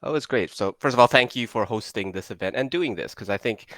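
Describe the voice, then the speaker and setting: An upbeat man with a very low voice, speaking as an interview guest over a video call. He stumbles and repeats words as he talks and jokes at his own expense. The sound is close and dry. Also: animated, fast talker, a bit bright, dry recording. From Indonesia. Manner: upbeat, stammering restarts, self-deprecating. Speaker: guest entrepreneur. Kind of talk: interview conversation.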